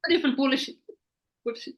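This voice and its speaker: A woman's voice: fairly quick, lo-fi sound. A woman whose first language is Estonian, chatting in English and musing as she searches for words. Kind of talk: casual group video chat.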